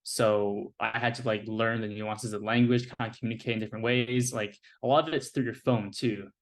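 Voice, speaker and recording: A young American man in his twenties, a guest on an interview podcast, talking in a relaxed, casual way with lots of "like" and "you know". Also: lowish voice, a little fast. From United States. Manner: relaxed, filler-heavy speech with many "like" and "you know".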